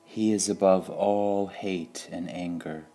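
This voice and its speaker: A man reading spiritual sayings aloud in a calm, measured way, pausing between phrases. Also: low-pitched. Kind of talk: slow meditative reading of quotations.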